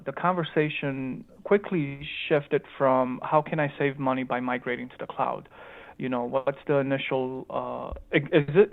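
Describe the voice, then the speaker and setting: Male interview guest on a tinny phone line, mid-pitched, light Romanian-Chicago accent, halting conversational delivery. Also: gravelly. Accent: slight Romanian mixed with Chicago. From Chicago, United States, born in Romania. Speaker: guest, tech company founder.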